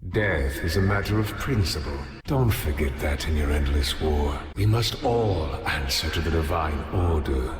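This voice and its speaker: A man voicing Death personified in a video game, a wry, solemn immortal who speaks in measured, portentous lines. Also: rumbling low, lively intonation, heavy background noise. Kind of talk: scripted game voice acting. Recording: echoing long reverb, loud music behind.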